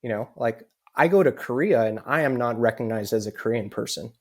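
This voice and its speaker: A man's voice: medium pitch, fairly quick. An American man telling a personal story as a podcast guest, in an earnest way and with lots of "you know" fillers. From United States. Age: adult with children.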